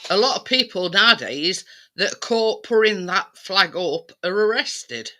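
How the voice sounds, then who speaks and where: A woman's voice: medium pitch, lively intonation, measured pace, sharp tone. A woman from England chatting casually and insistently, circling around her point and checking that she is understood. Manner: insistent, circling, frequent "you know what I mean". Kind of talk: casual opinionated live-stream chat.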